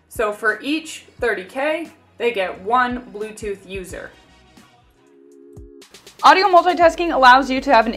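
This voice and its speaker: A woman with a fairly high voice narrates a product tutorial. She reads scripted, step-by-step instructions, with faint music playing behind her. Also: a bit bright. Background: background music.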